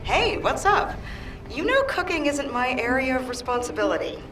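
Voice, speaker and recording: An actress playing a sensible quartermaster in a video game, teasing and skeptical yet caring, with a cautioning manner. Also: female voice, very animated, normal speed, music in the background, low-quality recording. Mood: casual. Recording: clear ambient vehicle-like rumble behind.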